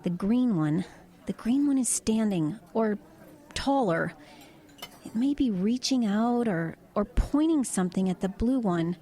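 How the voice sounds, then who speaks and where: A woman's voice: mid-range voice, expressive, unhurried, dark tone, lightly acted. A woman playing a level-headed truck driver in an eerie audio drama, talking in an everyday conversational way. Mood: intrigued, thoughtful. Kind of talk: audio drama dialogue and narration.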